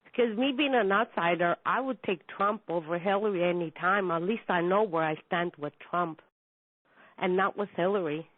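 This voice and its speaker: A woman's voice: fairly low pitch, moderate pace, no echo. A woman calling in over an internet line to give her opinion, speaking in an earnest, firm manner. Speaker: caller. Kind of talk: opinion comment on a call-in. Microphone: Skype web call. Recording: dry narrow internet call audio.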